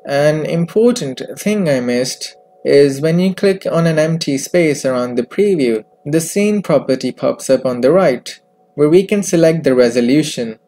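Bright-voiced man narrating a software tutorial. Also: a little high, expressive, a little slow. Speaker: tutorial presenter. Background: background music.

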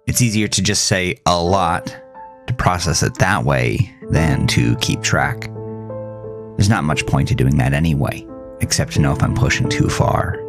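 A man narrating a scripted first-person horror story in a measured, dramatic way with pauses. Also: rumbling low, creaky. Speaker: host and author reading own horror story.

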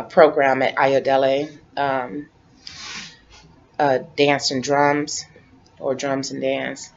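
A woman in her late forties chatting casually to camera from her living room couch, relaxed and rambling, repeating herself as she talks. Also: rumbling low. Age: 40s. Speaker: vlogger. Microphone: webcam. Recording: dull lo-fi webcam audio.

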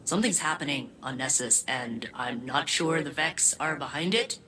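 A woman's voice: a bass voice, animated, a crowd in the background, low-quality recording. An actress voicing a nervous, rambling female ship AI in a video game. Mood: anxious. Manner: nervous, rambling, quick asides. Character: anxious, nerdy female AI persona of the ship.

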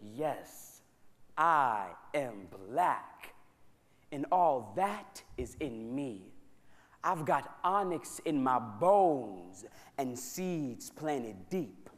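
An American man performing spoken word poetry on a stage microphone at a live church concert, with a rhythmic, rhyming delivery and emphatic slow repetitions. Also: medium pitch, animated. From United States. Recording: long hall reverb from a large church.

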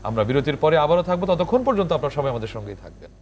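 Higher-voiced Bangladeshi man presenting on TV. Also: very animated, very fast. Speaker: TV show host. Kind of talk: scripted TV presenting to guests and viewers.

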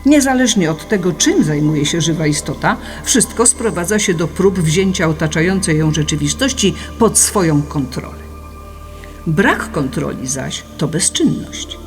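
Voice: mid-range voice, lively intonation, a little slow. A narrator reading a scripted philosophical audiobook in an explanatory, didactic manner. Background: music.

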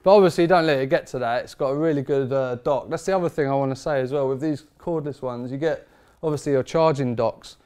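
A man, talking shop as a barber seated in his barber shop. His voice is smooth and resonant, and his speech is emphatic and informal. It rings with eager conviction. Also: medium pitch, fast talker, darkish tone. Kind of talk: product review chat.

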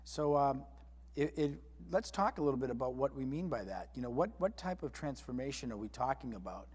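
A man moderates a panel discussion, putting questions to his guests in a conversational way. His voice is husky and somewhat breathy, with a long studio reverb trailing behind it. He speaks at a middle pitch. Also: animated, fairly quick, darkish tone.

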